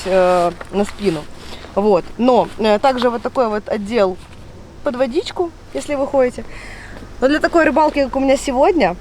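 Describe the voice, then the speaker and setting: Chatty lady vlogger w stuffy nose, excited, bit far from mic, noisy outside. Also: mid-range voice. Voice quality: nasal. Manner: chatty, enthusiastic. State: congested from a cold. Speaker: fishing channel host. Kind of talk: casual outdoor vlog talk. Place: outdoors by a fishing lake. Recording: outdoors, away from mic, clear background noise.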